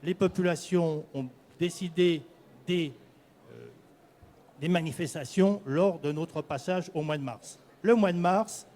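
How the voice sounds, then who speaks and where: An older man from the Paris region giving a semi-prepared presentation to an audience, halting at times and correcting himself. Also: fairly high pitch, darkish tone. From Paris region, France. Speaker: candidate presenting at a prize event.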